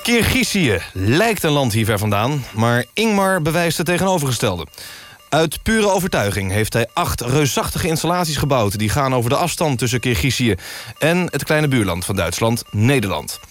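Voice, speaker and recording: An actor playing a dry, deadpan male presenter of a satirical radio news magazine. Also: medium pitch, moderate pace, soft background music, lightly acted.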